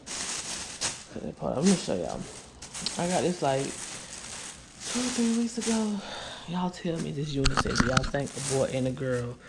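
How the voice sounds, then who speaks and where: A woman's voice: sits low, expressive, measured pace, long pauses, heavy background noise. A woman, chatting tiredly to her viewers from a living room couch into a handheld camera. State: weary. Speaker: vlogger. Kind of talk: casual chat to viewers. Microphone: handheld camera at close range.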